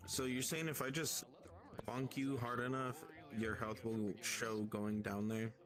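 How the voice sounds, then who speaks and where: A man with a mid-range voice banters casually in an improvised game roleplay. Music plays clearly behind him throughout. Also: mid-range voice, level tone, unhurried. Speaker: roleplayer in a virtual reality game stream.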